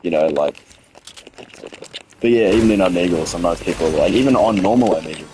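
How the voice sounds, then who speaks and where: Deep-voiced hoarse young man streaming. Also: fairly quick, darkish tone, slight background noise. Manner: rambling, swearing, frequent restarts; chats with viewers by name. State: hoarse from a cold. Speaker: gaming streamer. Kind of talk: casual livestream gameplay commentary.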